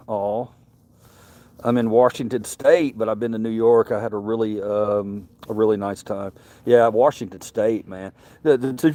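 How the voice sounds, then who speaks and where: A man's voice: mid-range voice, warm tone. A middle-aged man from Mississippi with a Southern accent, chatting casually on a livestream, rambling and repeating words. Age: Gen X, middle-aged. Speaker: livestream host.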